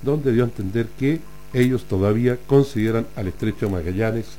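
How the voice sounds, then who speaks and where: A man with a Chilean accent hosting a radio show, talking casually through the news and often adding quick tag questions. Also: medium pitch, mellow, a little hiss or hum. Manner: chatty, keeps adding tag questions like "ah?" and "no es cierto?". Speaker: radio host.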